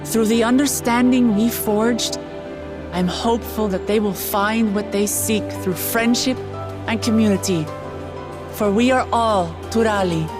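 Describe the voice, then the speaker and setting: An actress playing an earnest, warm-hearted young woman who has just become ruler, delivering a heartfelt ascension speech in a video game cutscene. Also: on the high side, a little slow, natural voice. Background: orchestral game music.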